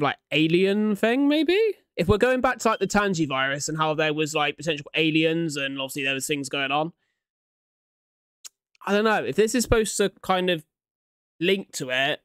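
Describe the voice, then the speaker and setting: A man's voice: fairly high pitch, fairly bright tone, smooth, dry recording, studio quality. A young man giving casual reaction commentary, musing aloud in an informal way as host of the video.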